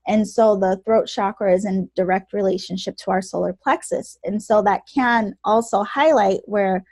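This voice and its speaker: Soft-voiced woman, chatty podcast host. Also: medium pitch, normal speed, dry recording. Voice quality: naturally soft voice. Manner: chatty, animated, laughs often. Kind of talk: casual chat.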